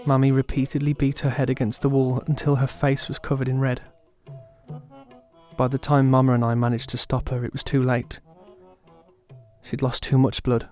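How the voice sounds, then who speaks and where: A man's voice: mid-range voice, completely flat delivery, moderate pace, long pauses, mellow, soft background music, close to an everyday voice. A man playing a bereaved young survivor, giving dramatised first-person narration of a family tragedy. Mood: sombre.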